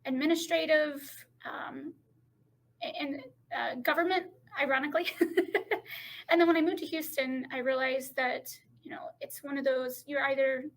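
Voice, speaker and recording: A middle-aged woman speaking as a podcast guest in a halting, unhurried way, pausing often to find her words. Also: high-pitched, fairly even intonation. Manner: halting, frequent pauses and restarts. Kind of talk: casual interview talk.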